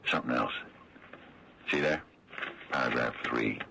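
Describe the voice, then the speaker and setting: An actor playing a wry, folksy small-town lawman, speaking deliberately in a 1970s radio mystery style. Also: background noise, heavily acted. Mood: dry, pointed. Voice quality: very deep, gravelly, rough. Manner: deliberate, folksy, sardonic, hesitant drawn-out delivery. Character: wry, slow-talking older small-town lawman exposing a cover-up. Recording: old radio drama broadcast recording.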